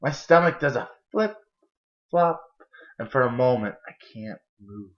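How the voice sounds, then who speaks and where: A man's voice: mid-range voice, expressive, a little slow. A young adult man reading a children's picture book aloud in a storytelling style, recorded indoors on a camera mic. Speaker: storybook reader. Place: indoor kitchen and living room. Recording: dull, lo-fi camera audio.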